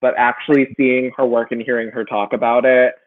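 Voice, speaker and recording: A man's voice: mid-range voice, level tone, a little fast. A man co-hosting a video-call chat, earnest and full of fillers. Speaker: co-host. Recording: tinny phone-like video call audio.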